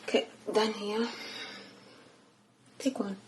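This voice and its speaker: A woman's voice: medium pitch, level tone, takes it slowly. Woman vlogging in an echoey room. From Uganda. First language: Luganda. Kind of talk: casual chatty lifestyle vlog. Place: indoors at home. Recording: echoey room with clear background noise.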